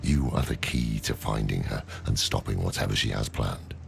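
An actor playing a weary old scholar in a video game, grave and wry, with a gravelly, measured delivery. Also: male voice, a bass voice, noisy background, full character voice. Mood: earnest. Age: older. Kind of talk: dramatic video game dialogue and narration.

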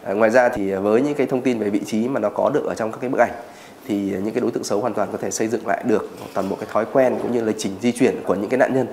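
A man in his thirties explaining as an expert in an interview, pausing on filler words. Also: on the low side, fairly even intonation, a little mellow, slight background noise.

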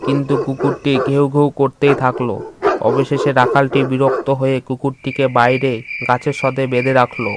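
A man's voice: mid-range voice, completely flat delivery, normal speed. A man narrating a scripted children's story as its storyteller. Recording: loud background noise, animal sounds.

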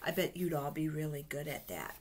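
A woman's voice: deep voice, lively intonation, a little fast, slight background noise. A middle-aged woman reads picture books aloud to children, adding chatty asides and simple questions. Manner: simple, child-directed phrasing with gentle questions. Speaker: children's Bible storyteller. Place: small indoor office. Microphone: webcam at desk distance.

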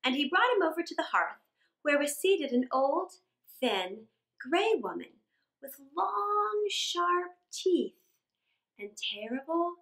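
A middle-aged woman reading a children's story aloud dramatically, with expressive, drawn-out emphasis. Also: very high voice. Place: small indoor room. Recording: roomy indoor echo.

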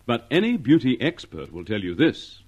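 A man's voice: mid-range voice, big pitch swings, normal speed. A man announcing on 1950s radio in a polished, emphatic salesman's style. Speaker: commercial and credits announcer.

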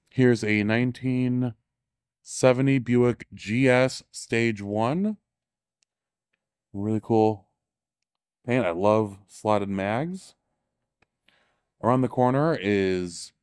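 Man, low smooth voice, casual walking tour host in an exhibition hall, dry close sound, eager and offhand. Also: darkish tone. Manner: enthusiastic, offhand, self-correcting. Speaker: show tour guide and author. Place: large indoor exhibition hall.

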